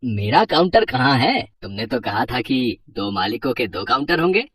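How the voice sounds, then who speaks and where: A woman's voice: rumbling low, big pitch swings, moderate pace, background noise, pitched below the performer's own voice. A woman voicing a simple, trusting male street vendor and family man in a moral story, earnest and plain-spoken. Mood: puzzled.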